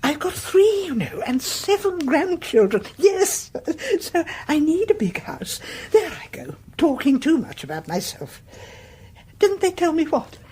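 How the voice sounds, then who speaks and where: An actress playing a chatty, kindly English grandmother in her seventies in a radio drama, genteel and gently coaxing. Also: high-pitched, sing-song, unhurried. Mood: chatty, curious. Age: 70s.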